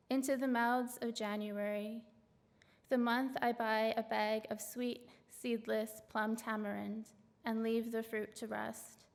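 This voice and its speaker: A woman from Canada reading her poems on a stage, halting and full of fillers between poems and measured within them. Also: on the high side. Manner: hesitant, filler-laden asides between measured poem readings. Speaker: poet reading her work. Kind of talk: poetry reading with spoken introductions. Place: large auditorium stage. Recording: long hall reverb.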